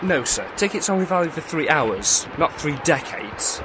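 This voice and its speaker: A polite but officious ticket inspector, played by a man. His pitch moves a lot. Clear background noise sits under him. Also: sing-song, moderate pace. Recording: noisy background ambience.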